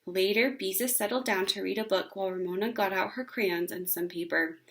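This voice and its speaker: A woman reading a children's book aloud as an expressive storyteller. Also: mid-range voice, brisk.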